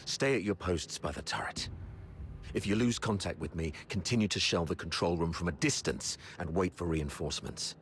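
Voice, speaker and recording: Very deep-voiced old military commander. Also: male voice, normal speed, fairly bright tone, slight background noise. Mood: grave, resolute. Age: older. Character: weathered old military commander, wry and steadfast. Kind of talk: game voice acting.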